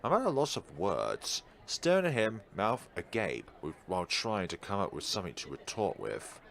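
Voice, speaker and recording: A man with a deep voice reads a visual novel aloud for a let's play. His delivery is animated, swinging up and down between narration and his own commentary. He occasionally stumbles on a line and corrects himself. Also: moderate pace, vocal fry, a little hiss or hum. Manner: stumbles over read lines and corrects himself. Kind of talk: game commentary and dramatic read-aloud narration.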